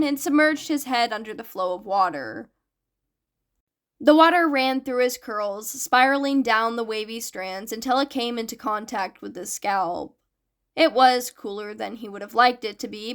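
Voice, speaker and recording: Higher-pitched woman narrating a story. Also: a bit bright. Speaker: podfic narrator. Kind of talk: scripted narration of fan fiction.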